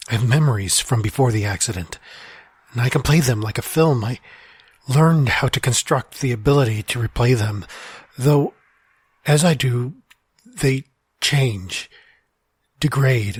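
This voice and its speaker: An actor playing a lonely man trapped inside his own mind, speaking in a halting, stammering way with frequent sighs. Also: medium pitch, slightly rough, dry recording. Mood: despairing. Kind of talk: audio drama monologue.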